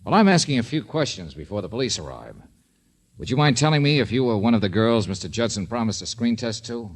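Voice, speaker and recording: An actor playing a wry, brisk, tough private detective in a 1940s radio drama, with clipped, assured wisecracks. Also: male voice, mid-range voice, expressive, slightly rough. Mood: polite, probing.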